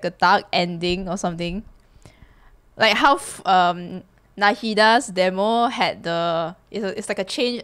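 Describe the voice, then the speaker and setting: A young woman giving excited, exclaiming reaction commentary as host, close to a desk microphone in a small room. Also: mid-range voice. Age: young adult. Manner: animated, giggly, exclaiming. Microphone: desk microphone close to her.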